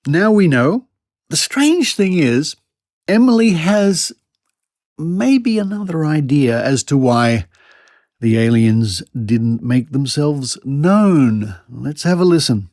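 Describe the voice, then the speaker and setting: A man's voice: fairly high pitch, sing-song, slow pace, darkish tone, dry recording. A male podcast host with an Australian accent, commenting with dry, mock-incredulous sarcasm.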